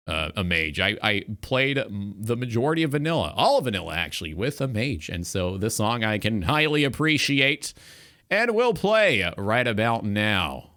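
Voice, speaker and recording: A man hosting a gaming talk show in a casual livestream style, with a halting delivery. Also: medium pitch, fairly bright tone.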